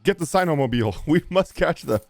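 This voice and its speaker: A man's voice: a little high, animated, brisk, slight background noise. A man streaming a video game with casual, playful commentary, joking along and slipping into mock voices. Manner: jokey, puts on mocking voices.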